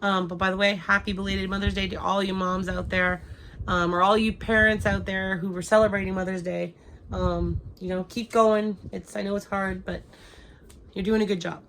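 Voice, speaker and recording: A woman's voice: mid-range voice, level tone, normal speed, a bit bright, a little hiss or hum. A young woman with a cold, chatting casually to her viewers with lots of ums and restarts. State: has a cold. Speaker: crochet vlogger. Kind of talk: casual chat to camera.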